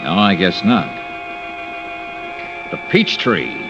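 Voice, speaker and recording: An actor playing a pushy, wisecracking amateur sleuth, slangy and needling in 1950s radio drama style. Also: male voice, sits low, very animated, takes it slowly, over music, exaggerated voice. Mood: needling, dogged. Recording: dull vintage radio recording, faint noise.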